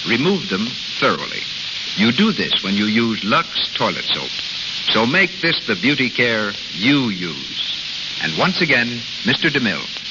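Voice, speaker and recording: A man's voice: medium pitch, big pitch swings, unhurried. A man reading a scripted 1930s radio advertisement as announcer, persuasive and emphatic. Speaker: commercial announcer. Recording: hissy, muffled old radio broadcast, heavy noise.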